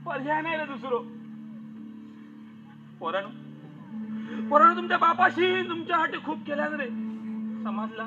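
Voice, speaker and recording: A man's voice: very high voice, expressive, normal speed, long pauses, highs cut off, over music. A man acting in a Malvani stage play as a village elder who pleads emphatically with a family not to break up. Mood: reproachful, resigned. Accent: Malvani Konkani. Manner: emphatic, repetitive pleading and admonishing. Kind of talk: theatrical one-act play acting.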